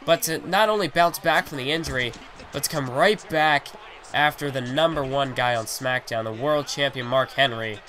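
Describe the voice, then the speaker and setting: Hoarse man rambling through game commentary. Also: medium pitch, normal speed, sharp tone. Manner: rambling, heavy on fillers like "you know". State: hoarse, losing his voice. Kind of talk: play-by-play wrestling game commentary.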